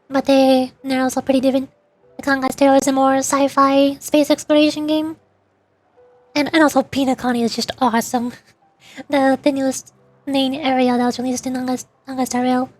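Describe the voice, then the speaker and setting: A woman livestreamer chatting casually and playfully about games in a rambling, informal way. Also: high-pitched. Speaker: VTuber streamer.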